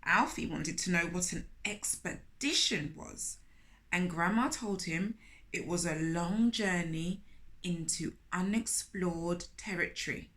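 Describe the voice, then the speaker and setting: A woman reading a picture book aloud to young children, animated and speaking to them directly with questions. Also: on the low side, takes it slowly. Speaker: children's storyteller, host of a storytime series.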